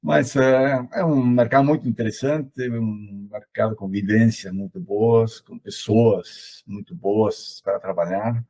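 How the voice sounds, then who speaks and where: A man's voice: on the low side, steady tone, a little slow, warm tone. A middle-aged man from Argentina with an Argentine accent, speaking Portuguese as a second language as an interview guest, hesitant with many fillers. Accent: Argentine Spanish. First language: Spanish. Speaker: interview guest, logistics consultant. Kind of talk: casual conversational interview.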